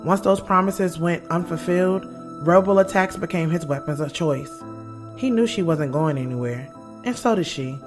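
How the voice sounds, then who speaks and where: A woman's voice: fairly low pitch, normal speed, close to an everyday voice. Frank woman narrator over music , acted. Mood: reflective. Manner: conversational, frank, slangy. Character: candid, loyal woman author narrating her own story. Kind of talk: first-person audio drama narration.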